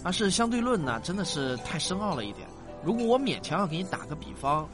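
Guy playing patient dad teaching science in a radio play, really lively intonation, music behind him. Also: high-pitched. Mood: patient explaining. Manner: didactic, drawn-out sentence particles, animated. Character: patient, affectionate father explaining science to his child. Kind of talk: Chinese sci-fi audio drama acting.

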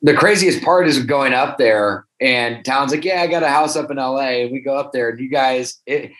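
A man co-hosting a casual chat, excitedly recounting a story. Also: mid-range voice, normal speed, low-quality recording. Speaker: podcast co-host.

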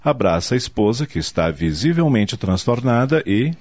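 A man's voice: medium pitch, very animated, normal speed, darkish tone. A man narrating a radio soap opera in a dramatic, scripted style. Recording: muffled audio with music behind.

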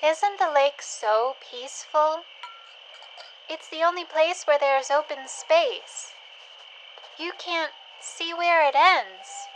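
A curious scavenger woman played by an actress in an audio drama, high-pitched and slow-spoken. She sounds gentle, hesitant and dreamy, with faint ambience behind her. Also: expressive, slight background noise, heavily acted, rough recording. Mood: wistful, dreamy. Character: curious, wistful woman scavenger living by a landfill.

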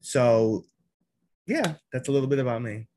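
A man's voice: mid-range voice, lively intonation, moderate pace, dark tone, slight background noise. A man speaking casually as a podcast guest. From Queens, New York. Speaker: podcast guest, elementary school teacher. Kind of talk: casual self-introduction.

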